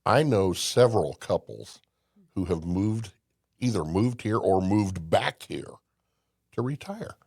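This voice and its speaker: Older man from Indiana, low gravelly voice, folksy storyteller, dry close mic. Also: lively intonation, takes it slowly, a little mellow, no room sound. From Indiana, USA. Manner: folksy storyteller, emphatic, with restarts. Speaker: podcast guest. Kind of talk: casual conversation.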